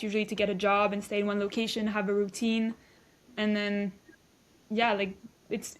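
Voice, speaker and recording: A woman in her twenties from Belgium, a guest chatting casually with hesitant restarts and fillers. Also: mid-range voice. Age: 20s. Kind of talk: casual interview chat.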